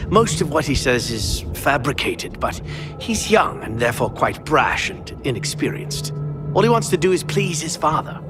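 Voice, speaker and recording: An actor playing a seasoned, loyal and weary knight in a fantasy game, speaking in a measured, wry way. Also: male voice, medium pitch, close to an everyday voice. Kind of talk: fantasy video game acting. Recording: music behind the voice.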